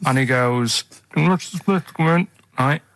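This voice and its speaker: A man's voice: fairly high pitch, a little slow, fairly bright tone, no echo. A man co-hosting a radio show, telling rambling casual anecdotes in a hesitant, matter-of-fact way.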